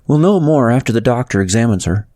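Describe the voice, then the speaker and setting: A man's voice: medium pitch, animated, fast talker, warm tone, no room sound, studio quality, voice pushed up, natural voice. A man reading the part of a businesslike female nurse in a novel, matter-of-fact.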